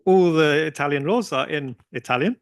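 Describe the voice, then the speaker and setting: Slightly high-voiced man on a panel. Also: normal speed. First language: English. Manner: relaxed, hesitant, many fillers and restarts. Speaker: panelist at an industry forum. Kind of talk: conversational panel discussion.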